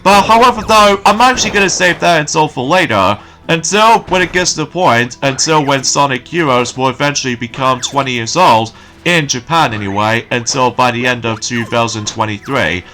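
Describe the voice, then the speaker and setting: A man giving rambling, casual let's play commentary, his voice slightly croaky from waking early. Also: medium pitch, normal speed, sharp tone, faint music behind. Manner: rambling, repeats filler phrases.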